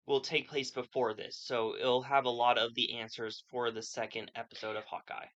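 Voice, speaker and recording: A man co-hosting a casual podcast chat, matter-of-fact and quick to finish his co-host's sentences. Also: medium pitch, level tone, a bit bright, highs cut off. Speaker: podcast co-host.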